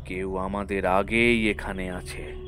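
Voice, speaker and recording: Low, sharp, wary investigator. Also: male voice, level tone, takes it slowly, slight background noise. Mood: suspicious. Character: wary, serious male investigator. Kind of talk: audio drama acting.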